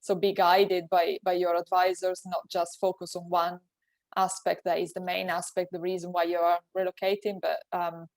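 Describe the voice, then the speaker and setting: A woman's voice: lowish voice, monotone, normal speed, a little mellow, dry recording. A woman with an Italian accent, a native Italian speaker, giving practical advice as a webinar panelist over a video call and often restarting her phrases. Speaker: webinar panelist, tax advisor.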